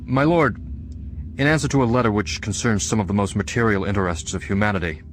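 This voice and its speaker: Actor as deep-voiced, dignified American statesman. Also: lightly acted. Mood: cool indignation. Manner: formal, measured. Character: indignant, dignified elder American statesman writing letters. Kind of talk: radio drama letter reading.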